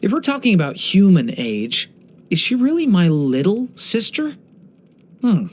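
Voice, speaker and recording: Game role: young dragon boy in human form. Earnest, polite, gentle storyteller, animated intonation. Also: high voice, unhurried, heavily acted. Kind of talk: English video game character voice lines.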